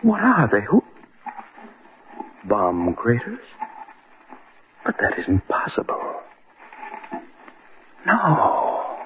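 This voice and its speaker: A man's voice: mid-range voice, huge pitch swings, extremely slow, pauses often, exaggerated voice. A man acting an arrogant middle-aged husband in a theatrical old-time radio drama, domineering and intense. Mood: uneasy, baffled. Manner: domineering, theatrical, clipped phrasing. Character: arrogant, scornful husband unravelling into terror. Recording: muffled old radio recording, crowd-like noise.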